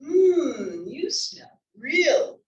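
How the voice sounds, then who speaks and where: A woman's voice: high-pitched, very animated, very slow, lo-fi sound, a little hiss or hum, heavily acted. A woman playing a wry, easygoing, teasing wife in a comedy table read, with an offhand manner. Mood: teasing, fond. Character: wry, easygoing wife who teases her husband. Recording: echoey room, distant mic.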